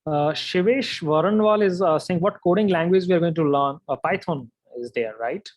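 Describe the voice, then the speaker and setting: Man, Indian accent, somewhat high pitch, coding instructor, live question-and-answer style, reassuring, frequent verbal tags. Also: normal speed. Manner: reassuring, repeatedly tagging "right?" and "you know". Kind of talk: live webinar Q&A, answering viewer questions aloud.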